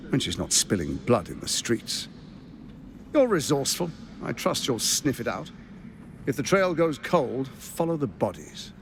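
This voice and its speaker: An actor playing a scheming, grandiose tyrant lord in a video game, commanding and persuasive in a theatrical way. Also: male voice, mid-range voice, creaky, a little hiss or hum. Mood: coolly menacing. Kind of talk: video game cutscene acting.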